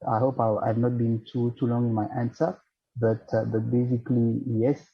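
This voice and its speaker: A man with a French-accented English voice, answering a panel question in a measured, formal way while searching for words. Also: mid-range voice, little pitch movement, husky, muffled recording. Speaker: financial centre representative on a panel.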